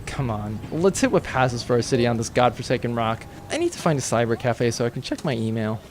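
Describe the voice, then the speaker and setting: A man's voice: mid-range voice, big pitch swings, a little fast, a little hiss or hum, lightly acted. A young man playing a sardonic, exasperated accountant on a pirate spaceship in a comedy audio drama, grumbling dryly and wordily. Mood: snarky, defensive. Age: young adult. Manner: dry, grumbling, wordy.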